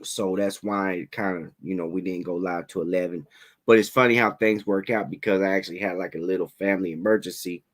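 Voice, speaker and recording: A man hosting a casual livestream interview, talking in relaxed slang, a little raspy from a head cold. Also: sits low, a little fast. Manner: laid-back slangy hype, fillers like "you know what I'm saying".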